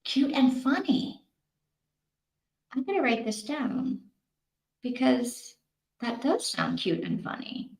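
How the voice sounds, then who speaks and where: A woman's voice: high-pitched, very animated, slow pace, gravelly, pauses often. A woman chatting casually and expressively to her livestream viewers as host, thinking aloud as she goes. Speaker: host of a live reading-sprint stream. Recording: roomy, echoey sound.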